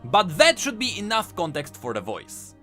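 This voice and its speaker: A man's voice: high voice, huge pitch swings, moderate pace, sharp tone, soft background music. A man hosting a scripted explainer, narrating lore in an emphatic, storytelling way. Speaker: video host and lore commentator.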